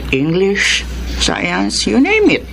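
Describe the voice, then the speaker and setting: Radio drama with noisy ambience: a lonely, elderly Filipino woman, a retired teacher. She is grumpy and scolding with a soft heart underneath, her mid-range voice moving up and down expressively. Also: medium pitch, wildly swooping pitch, very slow, gravelly, full character voice. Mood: softened, amused. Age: older.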